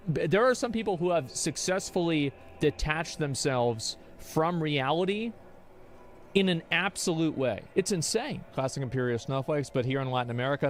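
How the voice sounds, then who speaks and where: A young man streaming, rambling and arguing his views in casual talk. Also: mid-range voice. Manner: rambling, argumentative, restarts often. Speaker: streamer reacting to chat.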